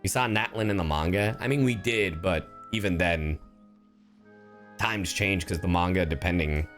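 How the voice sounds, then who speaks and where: Low-pitched man, casual game streamer. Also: normal speed, fairly bright tone, soft background music. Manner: slangy, profane. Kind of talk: casual live-stream commentary.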